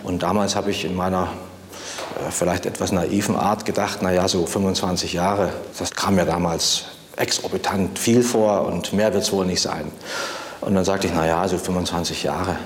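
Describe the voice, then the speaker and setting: A man's voice: low-pitched, fairly even intonation, moderate pace. A man recollecting in a spontaneous interview, as a former official, recorded in a reverberant space. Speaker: former prison director interviewed. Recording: echoey room with long reverb.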